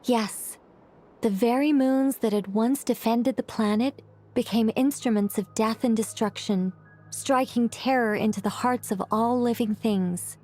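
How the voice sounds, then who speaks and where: Actress as a mellow, gentle female sage. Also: a little high, faint music behind, natural voice. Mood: solemn. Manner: measured, articulate, storytelling. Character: gentle, learned female sage recounting ancient lore. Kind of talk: scripted video game narration.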